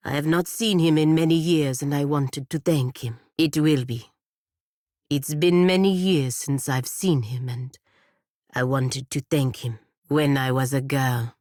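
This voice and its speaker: Low voiced stern godlike warrior lady from a fantasy game, proud n formal, measured phrasing, very dry recording. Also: darkish tone, no room sound. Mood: reserved, earnest. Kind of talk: scripted fantasy video game dialogue.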